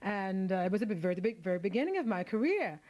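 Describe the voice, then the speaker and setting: A woman's voice: medium pitch, big pitch swings, rapid-fire, muffled recording. Frank, emphatic middle-aged woman singer. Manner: frank and emphatic, thinks aloud with many restarts. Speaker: opera singer, guest. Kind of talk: radio interview.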